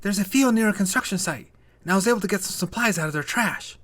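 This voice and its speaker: A man voicing a bossy, bold young girl in a children's story, with a loud, commanding manner. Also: high-pitched, fast, voice pushed up.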